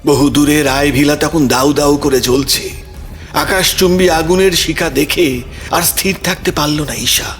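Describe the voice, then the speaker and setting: A man's voice: a little high, fairly even intonation, unhurried. A man narrating a scripted audio story in a dramatic storytelling style. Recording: music behind the voice.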